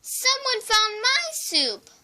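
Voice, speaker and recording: A female reader voicing an upset little girl baby bear with a squeaky voice in a children's storybook. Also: big pitch swings, slow, bright, forceful tone, slight background noise, heavily acted. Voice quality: high, squeaky.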